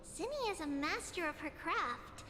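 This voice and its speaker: A cutesy, doting female character in a game, extremely high and bouncy in pitch, affectionate throughout. Long reverb and music surround the voice. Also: animated, normal speed, sharp tone, a little hiss or hum, full character voice. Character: sweet, childlike, doting big-sister figure. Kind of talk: video game voice acting.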